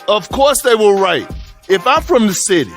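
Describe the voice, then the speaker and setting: A loud-opinioned male sports pundit in a video game TV segment. He speaks high, with punchy, emphatic repetition. Music plays underneath. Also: big pitch swings, moderate pace. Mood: combative. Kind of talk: heated sports talk show debate.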